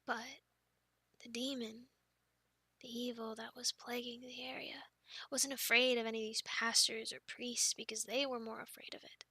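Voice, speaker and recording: Casting: actress as young female friend in ASMR roleplay, high-pitched, expressive storyteller, close dry sound. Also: moderate pace, no room sound, exaggerated voice. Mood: hushed, solemn. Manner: expressive, teasing, breaking into breathy near-whispers. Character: playful young woman friend, pouty, defensive, telling campfire stories.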